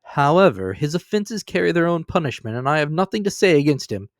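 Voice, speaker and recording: A man voicing a jolly young gentleman nephew who laughs heartily, in a storybook reading. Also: on the high side, big pitch swings, a little fast, no room sound, clean studio sound, pitched above the performer's own voice. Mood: jovial.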